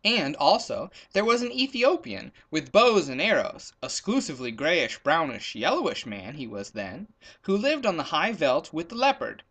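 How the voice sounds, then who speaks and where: Animated, bright-voiced male storyteller. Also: fairly high pitch, moderate pace. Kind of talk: expressive children's story reading.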